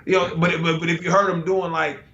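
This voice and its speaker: A man's voice: on the high side, little pitch movement, a little fast, bright, forceful tone. A man from the United States, a guest artist talking casually in a laid-back, slangy way with lots of fillers and restarts over a video call. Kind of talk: casual conversation. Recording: roomy, dull lo-fi call audio.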